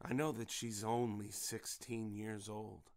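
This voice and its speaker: A man's voice: fairly low pitch, level tone, a little mellow. An actor playing a folksy father in an audio drama, who acts like a jovial barfly to confront a predator and can turn menacing. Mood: cold, menacing. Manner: folksy, drawling, mock-friendly, then slow and threatening.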